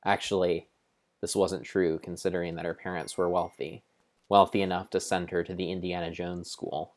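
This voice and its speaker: A man giving an improvised dramatic narration of a game like an adventure storybook, with deliberate, drawn-out phrasing. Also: sits low, little pitch movement.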